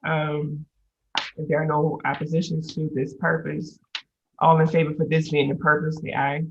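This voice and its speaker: Gravelly woman chairing a meeting. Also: sits low, monotone, muffled recording, a little hiss or hum. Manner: brisk, procedural, frequent "um". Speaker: council chair.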